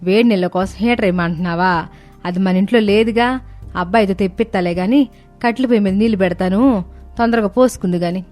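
A woman playing a doting, bustling older village mother-in-law with rustic colloquial speech in an animated story. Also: medium pitch, brisk, natural voice. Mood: concerned. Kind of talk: animated moral-story voice acting. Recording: music bed under the voice.

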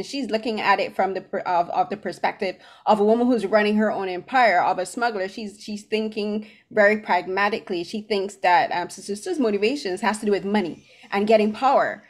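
A middle-aged woman hosting a film interview in a conversational style over a video call. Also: mid-range voice. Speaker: interview host. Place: small room at home. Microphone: computer mic on a video call.

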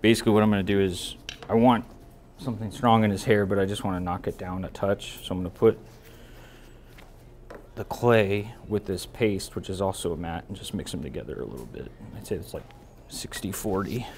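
A man's voice: sits low, a little fast, darkish tone. An American man, a barber, explains his work casually and in an easygoing way as he goes. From United States. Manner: easygoing, explanatory, thinking aloud. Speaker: barber giving a haircut tutorial. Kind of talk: casual instructional talk while working. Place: indoor brick-walled barber shop. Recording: clear electric clipper buzz and hum. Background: electric clippers buzzing, hum.

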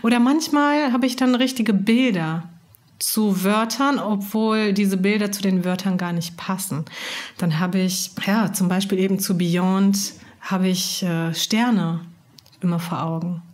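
A woman's voice: mid-range voice, steady tone, unhurried, darkish tone. A woman from Germany, raised bilingual in German and Italian, chatting thoughtfully on a podcast and laughing easily. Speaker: podcast co-host. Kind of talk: casual conversation about language learning.

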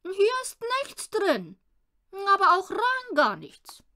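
A high, swooping voice for a smug little showman magician from a children's storybook, acted out by a woman reader. He announces everything grandly, stretching words out with great self-importance. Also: wildly swooping pitch, slow pace, sharp tone, exaggerated voice. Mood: pompous.

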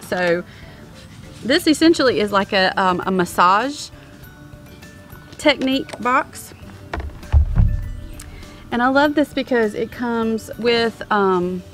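A woman's voice: medium pitch, fairly bright tone. A woman in her thirties vlogging outdoors on a lawn, talking casually with many ums while showing products to a handheld camera. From American. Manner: chatty, many ums, upbeat about products. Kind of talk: casual product show-and-tell. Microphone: handheld camera at arm's length.

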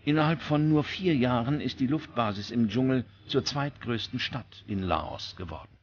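A man's voice: mid-range voice, lively intonation, moderate pace, husky. A man reading scripted documentary narration as the narrator. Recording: dull recording with music bed. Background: background music.

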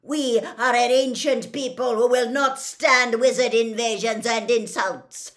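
A woman's voice: medium pitch, animated, slow pace, pushed and bright, voice pushed up. A woman voicing a fierce, proud, angry male centaur in an audiobook, with bellowing, haughty delivery. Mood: proud outrage. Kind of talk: dramatic audiobook character voice.

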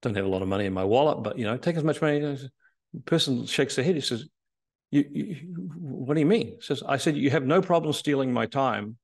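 A man's voice: mid-range voice, lively intonation. An older man joining a podcast by video call as a guest, explaining at length with frequent restarts. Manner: measured, explanatory, many restarts and fillers. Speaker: guest, tech company CEO. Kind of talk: casual interview chat. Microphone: remote video call.

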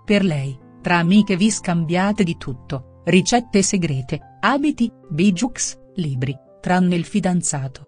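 A woman's voice: fairly low pitch, lively intonation, slow, hardly any pauses, darkish tone, breathy edge. A woman reading a scripted daily horoscope as narration. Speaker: horoscope reader. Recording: music under the voice. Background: background music.

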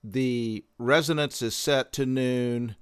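A man hosting a synthesizer tutorial, explaining gear in a measured, methodical way on a close microphone. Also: medium pitch, fairly even intonation, smooth, no echo. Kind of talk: explanatory product tutorial narration.

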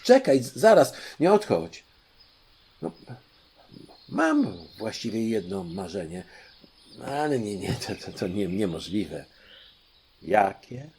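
A flustered young storybook prince, played by a man, stumbles over words with impatient eagerness. The pitch leaps about in a very animated way, with slight noise in the background. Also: full character voice. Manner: stammering, impatient. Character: young African prince, male, eager, hesitant, easily flustered. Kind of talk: audiobook storytelling.

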